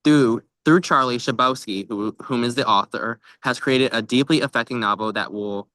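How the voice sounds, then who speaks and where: A young man co-hosting a book podcast in a casual, chatty way. His voice is bright and forward, with a mid-range pitch and a close, dry sound. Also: normal speed, no echo. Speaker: podcast co-host. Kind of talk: casual book club chat. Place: outdoors by a tree at a lake.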